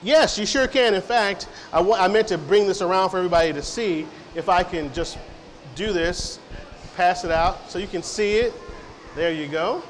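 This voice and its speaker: A man's voice: sits high, normal speed. Middle-aged man, company rep at a public Q&A, chatty and loose, recorded from afar in a reverberant hall with faint noise. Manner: friendly, rambling, many fillers and restarts. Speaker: company representative answering audience questions. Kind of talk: informal public Q&A talk. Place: large hall of a science center, indoors.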